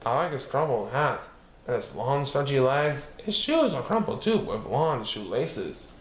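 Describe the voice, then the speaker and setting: A man reading a picture book aloud as a storyteller, expressive and sing-song, playing with repeated words. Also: mid-range voice, measured pace. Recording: roomy echo with faint background noise.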